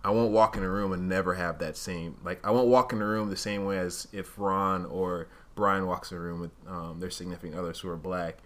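A man's voice: deep voice, steady tone, a little fast, hardly any pauses, a bit bright. A man speaking casually and candidly as a podcast co-host, thinking aloud and often hedging as he reasons through his points. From Uganda-born, raised in Rwandan culture.

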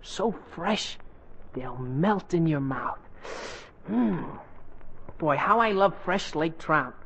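An eager, high-pitched American teenage boy. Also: expressive, slow, vocal fry, fairly bright tone, highs cut off, full character voice. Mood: dreamy, confident. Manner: eager, boastful, exclamatory. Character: cheery, stubborn, overconfident teenage boy. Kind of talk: 1940s radio comedy acting. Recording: noisy old radio recording.

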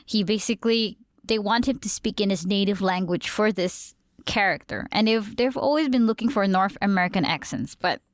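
A woman's voice: medium pitch, moderate pace, few pauses, no echo. Chatty woman from Malaysia, dry close sound. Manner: rambling with many fillers and restarts. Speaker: podcast co-host, voice actor. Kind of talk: casual chat.

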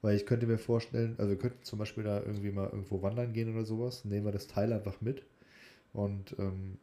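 A man's voice: lowish voice, little pitch movement, quick pace, mellow. A young man co-hosting a podcast, chatting casually in a hesitant, loosely phrased way. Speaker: podcast co-host. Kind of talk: casual chat.

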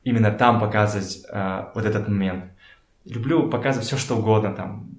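A man talking casually as a podcast guest, telling stories in a halting way as he searches for words. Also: fairly low pitch. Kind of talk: casual conversational storytelling. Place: indoors in a home. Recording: echoey room.

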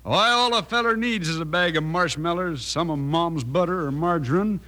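An actor playing an enthusiastic, folksy cowboy pitchman in an old radio commercial. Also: pushed and bright. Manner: folksy drawn-out salesmanship, exclamatory. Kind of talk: 1950s radio commercial acting.

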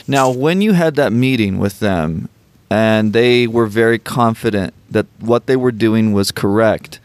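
A man interviewing a guest, calmly posing questions and summarizing the other side's arguments. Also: fairly low pitch, a little slow, clean tone, no room sound. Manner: calm, probing, summarizes opposing arguments.

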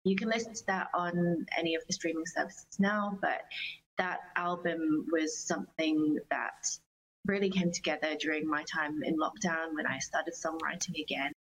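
A woman's voice: sits low, fairly even intonation, moderate pace, fairly bright tone, husky, rough recording. A woman with an Australian accent, chatting casually and earnestly as an enthusiastic interview guest. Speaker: interview guest, singer-songwriter.

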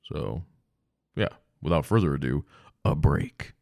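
A congested man with a very low voice hosts his own podcast in a loose, rambling way, dropping curse words as he goes. His nose is stuffed and sniffly. The sound is close and dry. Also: animated, measured pace, creaky, a little mellow, no echo. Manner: rambling, casual, swears often. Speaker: podcast host. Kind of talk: rambling solo podcast chat.

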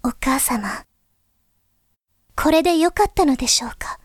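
A woman playing a gentle, thoughtful young princess, speaking formally, softly and earnestly in a Japanese voice drama monologue. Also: sits high, expressive, a little slow, dry recording, studio quality. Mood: uneasy, troubled. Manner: formal, soft-spoken, earnest.

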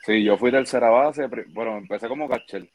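A Puerto Rican man in his twenties, a baseball pitcher, chatting casually in an interview with a relaxed, laid-back manner. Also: brisk. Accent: Puerto Rican Spanish. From Puerto Rico. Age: 20s.

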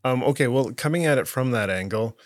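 A man hosting a podcast, asking casual questions in a relaxed, encouraging way. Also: mid-range voice, a little fast, dry recording, studio quality. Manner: relaxed, encouraging, short reactions. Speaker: podcast host.

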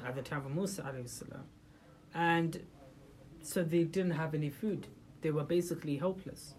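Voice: mid-range voice, darkish tone, low-quality recording. Speaker giving an informal religious talk. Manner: rhetorical questions to the listeners, hesitant restarts. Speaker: religious talk leader for a group. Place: room with listeners present.

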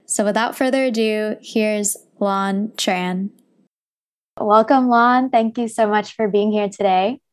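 A woman's voice: mid-range voice, fairly even intonation, slow pace, smooth. Casual, enthusiastic woman hosting an interview. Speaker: podcast host and interviewer. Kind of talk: conversational interview.

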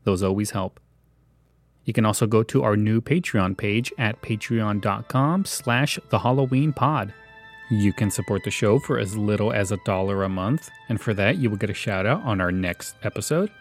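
Low-voiced man hosting a casual podcast. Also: moderate pace. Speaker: podcast host. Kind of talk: casual solo podcast commentary. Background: faint music.

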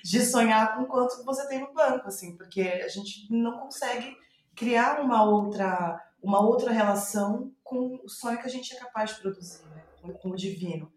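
A woman's voice: a little high, moderate pace. Thoughtful Brazilian woman in an echoey room. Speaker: playwright being interviewed. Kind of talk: reflective podcast conversation. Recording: roomy, echoing sound.

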